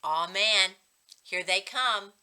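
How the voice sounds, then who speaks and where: A woman's voice: medium pitch, slow, pushed and bright, clean tone. A woman voicing a sullen young brother in a storybook, curt and grudging as he tries to sound bored. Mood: angry.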